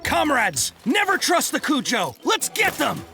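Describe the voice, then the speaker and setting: An actor playing a furious rebel soldier in a video game, accusing and rallying comrades with shouts. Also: male voice, extremely high pitch, huge pitch swings, measured pace, gravelly, exaggerated voice. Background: background music.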